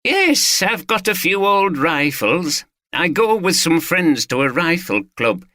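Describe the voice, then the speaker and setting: A man's voice: fairly high pitch, very animated, slow, sharp tone, no room sound, voice pushed up, full character voice. A man voicing a friendly middle-aged gun collector, chatty and proud. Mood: eager.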